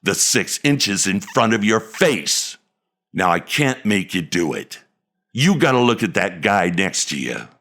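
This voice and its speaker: A man playing a gravelly, worn-down football coach. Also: sits low, sing-song, unhurried, a bit bright, pitched above the performer's own voice, exaggerated voice. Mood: fierce. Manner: building locker-room pep talk with heavy emphasis. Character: aging male football coach, worn down and fierce. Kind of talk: dramatic monologue read.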